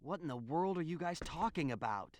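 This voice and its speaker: A man voices an earnest nineteen-year-old game hero. His boyish voice is very animated, leaping up and down, hesitant and incredulous, recorded close and dry. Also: a little high, brisk, no room sound, natural voice. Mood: alarmed. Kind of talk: video game cutscene acting.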